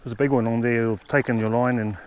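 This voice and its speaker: A man in his forties with a New Zealand accent, muttering a relaxed running commentary while fishing from a harbour beach, recorded on an action camera. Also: mid-range voice, quick pace, clean tone. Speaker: fishing vlogger.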